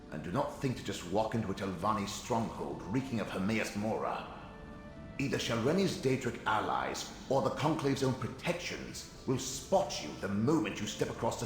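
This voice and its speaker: Game casting: proud old spectral magister, haughty lecturer with lively swings in pitch, drenched in echo. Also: male voice, normal speed. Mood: stern warning. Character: proud, reclusive ghost of an old Telvanni wizard. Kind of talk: video game character acting. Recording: cavernous echo with music behind.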